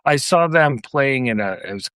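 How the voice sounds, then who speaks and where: A man's voice: medium pitch, animated, moderate pace, fairly bright tone, clear, resonant tone, no echo. A man talking casually as a guest on a podcast.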